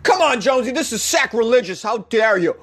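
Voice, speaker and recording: A man's voice: very high voice, animated, brisk, a bit bright, pitched above the performer's own voice, exaggerated voice. A man putting on the voice of an outraged pious man scolding someone for not taking religion seriously. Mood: indignant. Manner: scolding, indignant.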